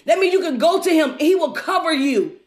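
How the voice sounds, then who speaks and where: Female preacher, extremely high voice, fervent exhorting delivery, echoey room. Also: lively intonation, brisk. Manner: fervent, exhorting, repetitive rhetorical questions. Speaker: preacher leading a livestream devotional. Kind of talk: exuberant devotional sermon.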